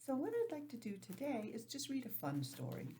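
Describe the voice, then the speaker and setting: A woman teacher giving an informal, encouraging lesson to young children, sounding out letters and counting deliberately. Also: a little high, huge pitch swings, mellow, a little hiss or hum. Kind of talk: children's lesson, read-aloud introduction.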